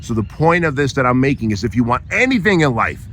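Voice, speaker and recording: A hoarse man hosting a podcast, delivering an emphatic motivational monologue and often checking in with "right?". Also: medium pitch, big pitch swings, quick pace, a bit bright, slight background noise. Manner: emphatic, repeats phrases, frequent tag "right?". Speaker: podcast host.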